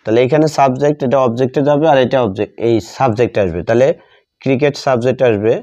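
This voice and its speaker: A man with a Bengali accent teaching a grammar lesson step by step, halting and repeating phrases in short fragments as he writes. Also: medium pitch, mellow. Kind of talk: step-by-step grammar lesson, spoken while writing. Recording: dull lo-fi sound.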